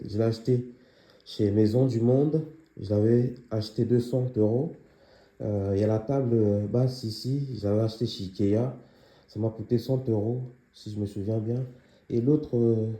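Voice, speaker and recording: A young man giving a casual tour of his apartment, pausing often with fillers as he walks around. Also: fairly low pitch, warm tone, roomy sound. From Congolese heritage, living near Paris. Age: young adult. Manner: hesitant, many filler pauses. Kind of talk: casual home tour vlog. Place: small apartment indoors.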